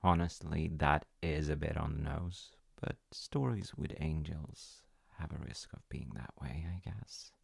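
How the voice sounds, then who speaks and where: A man with a very low voice tells a story at a slow, halting pace, as if to his own child. His delivery is intimate and wry, with dramatic pauses, and the sound is dry and close. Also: gravelly, slightly rough, no room sound. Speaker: audio roleplay storyteller playing a dad. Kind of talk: intimate storytelling roleplay, ASMR.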